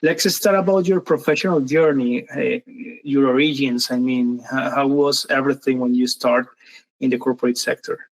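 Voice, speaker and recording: A man hosting an interview, asking his business partner questions with halting phrasing. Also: medium pitch, steady tone, low-quality recording. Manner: halting, with fillers. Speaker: interview host.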